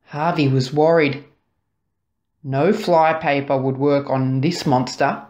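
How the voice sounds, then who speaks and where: A woman narrating a children's story expressively, dramatic and deliberate, drawing out key words. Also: low voice, fairly even intonation, darkish tone. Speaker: storybook reader.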